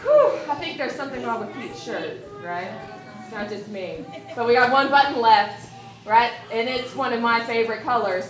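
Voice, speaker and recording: A woman running a library puppet show for children, animated and talking straight to the kids as she counts aloud. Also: a little high, normal speed. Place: large library room with children. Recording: echoey room from some distance, kids around.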